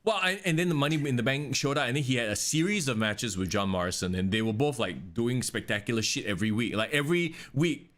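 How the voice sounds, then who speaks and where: Animated man talking wrestling. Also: mid-range voice. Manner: animated, swears casually, quick reactions. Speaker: podcast co-host. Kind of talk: casual wrestling chat.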